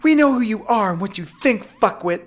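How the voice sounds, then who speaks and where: Man putting on a high-pitched seductive female fanatic. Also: lively intonation, a little slow. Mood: menacing.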